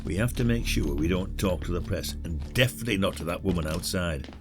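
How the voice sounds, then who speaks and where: Low-voiced, weary older detective. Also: male voice, animated, fairly quick, faint music behind. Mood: dogged, wary. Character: weary, blunt retired male detective, isolated and dogged. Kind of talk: audio drama acting.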